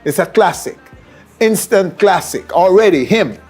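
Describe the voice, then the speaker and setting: Male TV interviewer, Jamaican accent, middle-aged, fairly high-pitched voice. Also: expressive, a little slow, darkish tone, husky, faint music behind. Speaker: television interview host. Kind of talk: on-stage interview show hosting.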